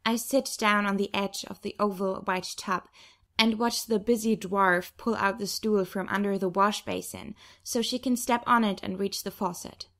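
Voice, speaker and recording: A woman narrating a first-person novel as an audiobook reader, in an expressive storytelling manner. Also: medium pitch, moderate pace.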